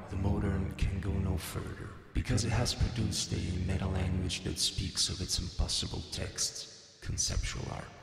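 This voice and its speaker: Very deep-voiced man narrating over music. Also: vocal fry, breathy edge, dry recording. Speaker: video essayist narrator. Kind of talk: scripted video essay narration. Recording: music behind the voice.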